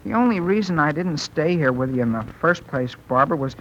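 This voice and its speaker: A man's voice: on the high side, lively intonation, a little fast, a bit bright. An actor playing an earnest, flustered teenage boy who stammers and pleads in a 1930s radio comedy. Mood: pleading. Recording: noisy, dull 1930s radio recording.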